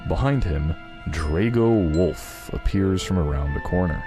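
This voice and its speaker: A man's voice: low-pitched, expressive, a little slow. A man acting as narrator, reading a fan fiction script aloud in a dramatic style. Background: background music.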